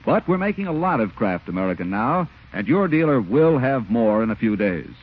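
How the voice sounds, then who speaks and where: A man's voice: mid-range voice, big pitch swings, fairly quick. A man reading scripted announcements as a 1940s radio announcer, with polished, emphatic salesmanship. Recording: muffled 1940s radio broadcast recording. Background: music.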